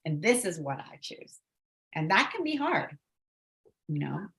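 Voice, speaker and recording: A woman's voice: deep voice, sing-song, unhurried, vocal fry, fairly bright tone. A woman from Bangladesh speaking reflectively on a panel, with many "you know" fillers. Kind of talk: casual panel discussion.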